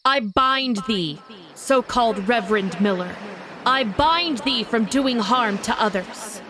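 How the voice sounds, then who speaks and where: A woman's voice: a little high, expressive, takes it slowly, fairly bright tone, slight background noise. A woman playing a defiant, righteous witch, speaking firmly and dramatically in an audio drama. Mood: solemn. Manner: firm, dramatic, declamatory.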